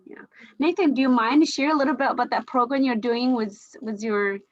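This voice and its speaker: A woman's voice: a little high, fairly quick, dull audio. A woman from China, speaking English as a second language, moderating a casual video-call discussion with frequent restarts, fillers and laughs. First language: Chinese. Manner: hesitant, many restarts and fillers, laughs often. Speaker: panel moderator.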